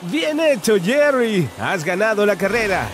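A man's voice: high voice, sing-song, measured pace, music in the background. A man narrating a preschool cartoon, talking to the characters with encouraging exclamations and questions.

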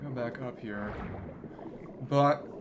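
A man's voice: medium pitch, takes it slowly, background noise. A man giving casual live commentary as a video game streamer, rambling and thinking aloud as he plays. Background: video game music.

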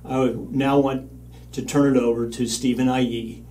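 An older man giving an earnest, measured banquet speech as the leader of a mission organization, standing at a lectern. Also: medium pitch, flat delivery, mellow, recorded from a distance, slight background noise. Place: studio with backdrop, at a lectern.

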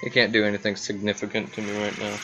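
A man's voice: lowish voice, monotone, muffled recording, noisy background. A man giving casual, playful gameplay commentary, talking to himself as he plays. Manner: playful, talks to himself, drawn-out exclamations. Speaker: gaming video host.

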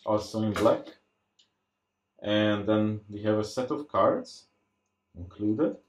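A man giving casual, unscripted unboxing commentary, thinking aloud in a halting way as he presents. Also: fairly low pitch. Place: indoors at a tabletop. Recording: roomy, echoey sound.

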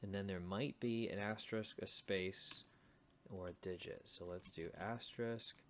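Male tutorial host, lowish voice, very unhurried, thinks aloud over keyboard typing and clicks. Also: fairly even intonation, a bit bright. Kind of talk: casual screencast coding walkthrough. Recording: typing and mouse clicks, faint noise. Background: computer keyboard typing and clicks.

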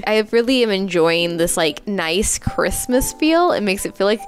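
A woman's voice: mid-range voice, expressive, moderate pace, clear, resonant tone, soft background music. A woman in her thirties co-hosting a reaction show, chatting casually on a headset mic. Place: small studio, indoors.

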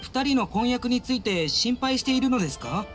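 A man's voice: very high-pitched, lively intonation, moderate pace. A man voicing an earnest, polite young servant of a noble house in a Japanese anime game, with formal, courteous speech. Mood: gentle, hesitant. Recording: studio voice over game music. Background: game music.

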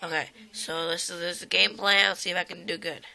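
A woman's voice: fairly low pitch, little pitch movement, quick pace, vocal fry, bright, forceful tone. A young girl who is sick, casually chatting as she plays a game at home, recorded on a phone filming the screen, rambling with lots of "um" and "like". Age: child or young teen. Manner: rambling, frequent "um" and "like", exclaims at the game. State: sick, voice a bit rough. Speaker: gameplay vlogger. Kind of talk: casual gameplay chat. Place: home room by a computer. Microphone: phone camera filming a screen.

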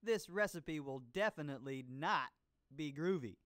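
An actor voicing an exuberant, jive-talking male disco cooking show host in an educational video game, with showy drawn-out exclamations. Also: fairly high pitch, lively intonation, fairly bright tone, dry recording, clean studio sound. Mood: exuberant, groovy. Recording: disco music behind the voice.

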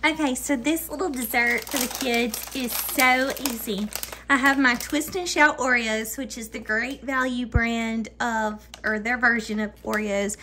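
A woman in her thirties with a slightly high voice talks brightly to her viewers while baking. She is recorded by a camera set across her home kitchen, and a faint hum sits under her voice. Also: fairly even intonation, a little slow. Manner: chatty, upbeat, talks straight to viewers. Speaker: baking vlogger. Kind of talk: casual baking tutorial.

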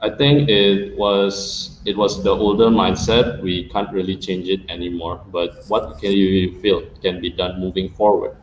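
A man's voice: low-pitched, little pitch movement, unhurried, hardly any pauses. A young man from Indonesia hosting an interview over a video call, asking long, frank questions full of fillers. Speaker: podcast host. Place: small indoor room. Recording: roomy, dull video call sound with faint noise.

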